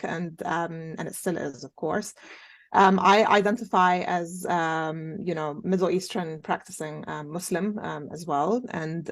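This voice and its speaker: Thoughtful woman with a lower voice. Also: no echo. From Jordan. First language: Arabic. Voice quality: thoughtful, many "um" and "you know" fillers. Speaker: interview guest, occupational therapist. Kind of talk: conversational interview.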